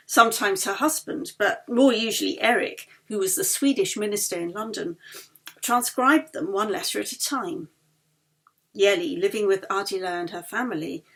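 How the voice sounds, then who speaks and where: A woman reading her own novel aloud as an expressive audiobook narrator, with a slightly husky voice. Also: mid-range voice.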